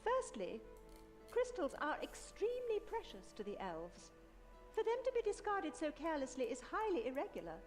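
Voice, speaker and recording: A woman voicing a poised, analytical female wizard in a video game, with measured, formal and precise speech. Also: sits high. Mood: measured, cautionary. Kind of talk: scripted video game voice acting.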